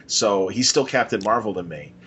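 A man's voice: fairly low pitch, expressive, moderate pace, fairly bright tone, slight background noise. A man hosting a podcast interview, chatting casually and agreeably in loose, informal phrasing. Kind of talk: casual conversation about comics.

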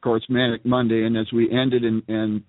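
A man hosting a talk show, chatting in a relaxed, hesitant way with lots of fillers. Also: medium pitch, moderate pace, no room sound. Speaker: host. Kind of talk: casual talk show chat. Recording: tinny phone-like line, dry.

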